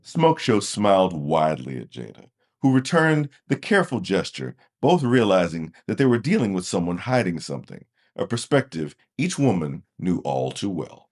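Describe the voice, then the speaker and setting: A man's voice: low voice, big pitch swings, normal speed, darkish tone. A man narrating a fantasy audio drama from a script in a dramatic storytelling style.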